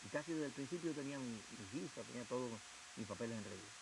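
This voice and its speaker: A man's voice: medium pitch, animated, fast talker. A man with a Cuban Spanish accent, a guest giving emotional personal testimony in a television interview. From Cuba. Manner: emotional storytelling, acting out quoted officials. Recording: hissy TV broadcast audio.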